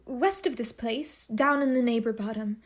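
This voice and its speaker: A woman acting a gentle, wry young noblewoman and loyal companion in a Shakespearean radio play, with a dry, measured delivery. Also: on the high side, lightly acted. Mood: polite.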